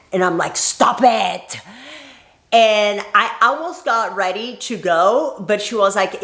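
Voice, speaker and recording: A woman's voice: mid-range voice, a bit bright. Chatty Brazilian-born woman vlogger. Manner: chatty, laughs often, playful quoted voices. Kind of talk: casual storytelling to camera. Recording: echoey room.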